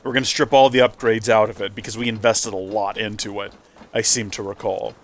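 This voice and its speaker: A man's voice: fairly low pitch, flat delivery, fairly quick, slight background noise. A man hosting a let's play with casual, rambling commentary, his nose stuffy and a little nasal. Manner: chatty, rambling asides.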